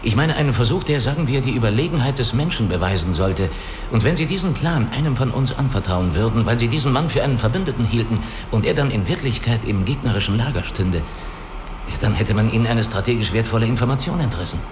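An actor in a radio drama playing a guarded, analytical spaceship crewman who speaks deliberately and reasons step by step. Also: medium pitch, animated, background noise, lightly acted. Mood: probing, calculating. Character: guarded, analytical male spaceship crewman hiding his identity. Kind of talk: German radio play dialogue.

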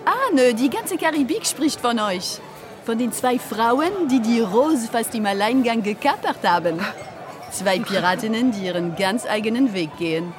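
Casting: actress as wry, chatty Frenchwoman, French accent, raised pitch, pirate radio play over music. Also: expressive, normal speed, natural voice. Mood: knowing. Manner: chatty, teasing, tag questions. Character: worldly, teasing Frenchwoman, wry pirate confidante. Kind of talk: radio drama acting.

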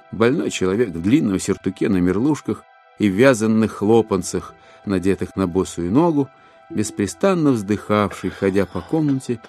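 A man narrating a literary audio drama in an expressive, theatrical way with deliberate dramatic phrasing. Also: lowish voice.

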